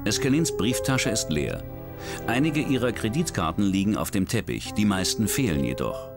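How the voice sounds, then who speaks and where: A man narrating a true-crime documentary in a measured, dramatic storytelling manner. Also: fairly low pitch, very animated. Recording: studio voice-over with music bed. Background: background music.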